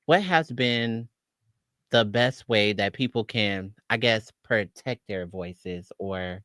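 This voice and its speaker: A man's voice: on the low side, takes it slowly, fairly bright tone, no room sound, clean studio sound. A man hosting a casual interview over a video call, slangy and affirming, asking long rambling questions. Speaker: host.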